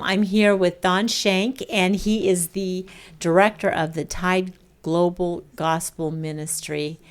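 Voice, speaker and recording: A woman hosting a talk show interview, introducing her guest and encouraging him. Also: lowish voice. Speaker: host.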